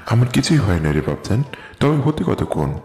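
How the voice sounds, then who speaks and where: A man's voice: lowish voice, big pitch swings, normal speed, darkish tone. A man playing an affectionate, careworn old uncle in an audio story, speaking tenderly like a father. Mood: tender, solemn. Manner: tender, fatherly, addressing a younger man fondly. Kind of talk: dramatised audio story acting. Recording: echoey reverb with music behind.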